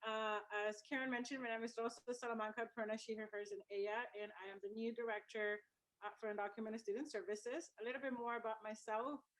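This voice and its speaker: A woman's voice: a little high, steady tone, fast talker, fairly bright tone, low-quality recording. A woman from El Salvador introduces herself as a new student services director. Her delivery is polite and composed. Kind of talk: self-introduction.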